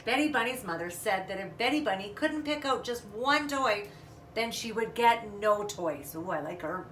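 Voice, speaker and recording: A woman's voice: mid-range voice, lively intonation, normal speed, a bit bright. A woman reading a children's book aloud expressively, adding casual asides as storyteller. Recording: roomy, distant mic, faint noise.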